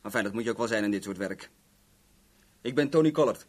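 An actor playing a cocky, talkative young garage owner in a Dutch radio play, rambling and self-assured. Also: male voice, fairly low pitch, quick pace, lightly acted. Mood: breezy, chatty.

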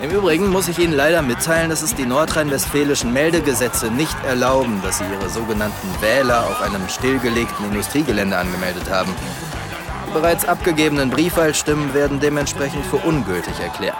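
Pedantic council official, male actor, radio play, formal legalese, medium pitch. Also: brisk, music in the background, lightly acted. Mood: officious. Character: dry, officious male public order office bureaucrat. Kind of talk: German satirical radio drama.

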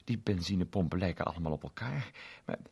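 A man's voice: deep voice, fast talker, vocal fry, a little mellow, breathy edge, exaggerated voice. A man reading a story, voicing a fussy, unmarried woman art teacher who stammers and repeats herself in a raised voice. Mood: excited.

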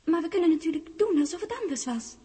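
An actress playing a tender, teasing young woman from a man's memory, in 1960s radio drama style with lyrical, deliberate phrasing. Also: very high-pitched, lively intonation, dark tone. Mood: wistful, haunting.

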